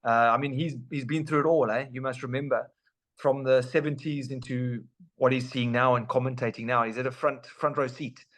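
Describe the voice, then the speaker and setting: Hyped co-host. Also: male voice, medium pitch, fairly even intonation, normal speed. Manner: warm, hyped, slangy. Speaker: podcast co-host. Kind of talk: casual podcast chat.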